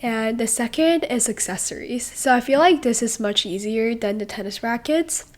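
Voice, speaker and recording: A young woman hosting a podcast in a rambling, casual way, full of fillers and pauses, while sick with a cold. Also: on the high side. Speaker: podcast host. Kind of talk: casual solo podcast talk.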